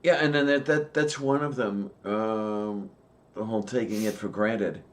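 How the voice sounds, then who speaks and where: A man co-hosting a casual chat, talking with many "um"s and pauses. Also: fairly low pitch, steady tone. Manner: slow, hesitant, many "um"s and pauses. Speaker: podcast co-host.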